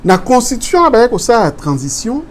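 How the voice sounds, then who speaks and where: A man's voice: very high-pitched, very animated, normal speed. Casting: male political guest, lecturing tone, code-switching, faint noise behind. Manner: switches between French and Lingala, explains step by step, asks rhetorical questions. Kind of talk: explaining law in an interview.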